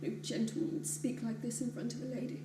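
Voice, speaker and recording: A woman's voice: a little high, level tone, fast, close to an everyday voice. An actress playing a prim, haughty widowed lady who scolds in stiff, formal speech, in a stage play. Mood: indignant. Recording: echoey hall, distant mic, hiss.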